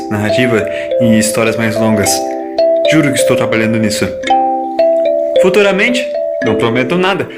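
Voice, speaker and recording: Higher-pitched Brazilian man narrating over music. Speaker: narrator of an audio drama. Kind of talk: scripted narration. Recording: music behind the voice.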